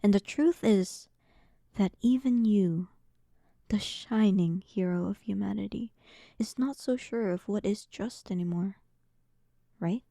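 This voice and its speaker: A woman playing a powerful demon queen in an audio roleplay, dominant, sarcastic and playfully condescending, often dropping into breathy half-whispers. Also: mid-range voice, unhurried, long pauses, mellow, no room sound, clean studio sound. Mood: teasing, condescending. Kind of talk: scripted audio roleplay addressed to the listener.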